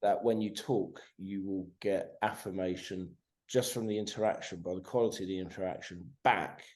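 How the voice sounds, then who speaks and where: A man in his forties, a podcast guest on a video call, talking in a sweary, animated way and tagging his points with "right?". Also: deep voice, moderate pace. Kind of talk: casual conversation.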